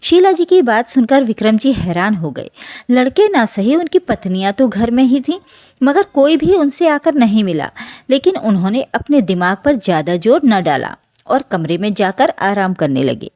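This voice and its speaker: A woman narrating an emotional family story from a script in an expressive, dramatic storytelling manner. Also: a little high, moderate pace, dry recording, studio quality.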